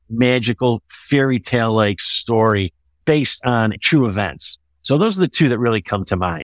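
Middle-aged or older man, American accent, author interview, measured explanatory delivery, average pitch, dry recording. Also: dry recording. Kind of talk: conversational interview answers.

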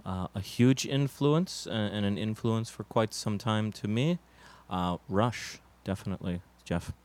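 A man playing a musician in a deadpan mock interview, halting and stammering, earnest but evasive. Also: on the low side. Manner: hesitant and full of fillers. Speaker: interviewed musician in a comedy sketch.

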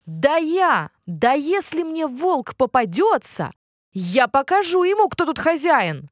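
A woman voicing a boastful young male hare with a loud, sing-song bragging manner in a children's tale. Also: high voice, sing-song, slow, no room sound, studio quality, voice pushed up, exaggerated voice. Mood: defiant.

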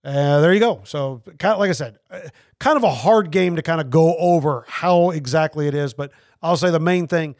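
A man's voice: a little high, moderate pace, darkish tone, no room sound, clean studio sound. A man hosting a casual board game review chat, explaining the game with lots of fillers and restarts. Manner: rambling, many fillers and restarts. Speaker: board game podcast host.

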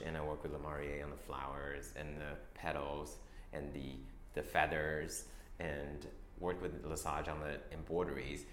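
Low-voiced man in an echoey room. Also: a little hiss or hum. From Taiwan. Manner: soft-spoken, halting, many restarts and fillers. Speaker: fashion designer. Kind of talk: interview answers. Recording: echoey room with faint ambience.

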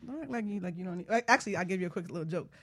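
A woman's voice: on the low side, lively intonation, very fast, breathy edge. Playful woman hosting a radio show. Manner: playful, teasing, laughs often. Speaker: radio show host and life coach. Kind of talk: lively co-hosted radio banter.

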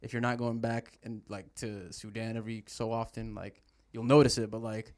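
A man's voice: fairly low pitch, level tone, normal speed, darkish tone. A young man, a native Arabic speaker, chatting casually on a podcast in loose, slangy talk full of fillers. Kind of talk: casual chat.

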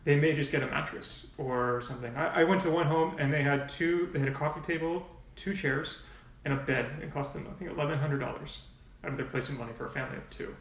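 Brief: quick-talking gravelly man, frank and explanatory, heard from a distance in an echoey room. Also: fairly high pitch. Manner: frank, explains in long runs with asides. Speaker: nonprofit volunteer coordinator, guest. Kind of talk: casual podcast interview.

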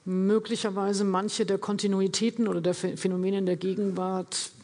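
A woman's voice: medium pitch, flat delivery, dark tone, slight background noise. A woman moderating a live panel who turns to a guest by name and speaks with some hesitation. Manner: halting, addresses panelists by name. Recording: reverberant hall.

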